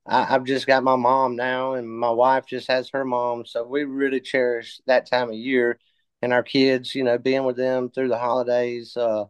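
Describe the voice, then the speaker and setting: A man of fifty telling his story in a casual interview, halting with many fillers and restarts. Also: medium pitch, little pitch movement, smooth, no echo, rough recording. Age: 50s. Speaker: interview guest.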